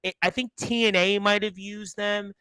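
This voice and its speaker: A man's voice: high-pitched, fairly even intonation, normal speed, pushed and bright, smooth, no echo, low-quality recording. Chatty New man hosting, dry sound. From Brooklyn, New York. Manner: chatty, rambling, repeats himself. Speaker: radio show host. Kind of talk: casual interview chat.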